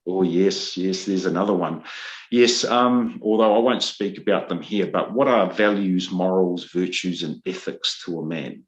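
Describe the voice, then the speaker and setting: A middle-aged man with a New Zealand accent, a guest on a podcast, speaking earnestly and pausing with frequent ums and uhs. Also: low voice, poor audio. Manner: earnest, searching for words with many ums and uhs. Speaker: guest, men's leadership mentor. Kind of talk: podcast interview.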